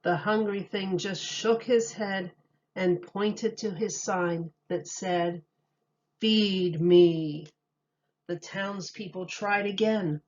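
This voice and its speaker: A woman reading a children's storybook aloud like a teacher, in an expressive, deliberate storytelling manner. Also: mid-range voice, lo-fi sound.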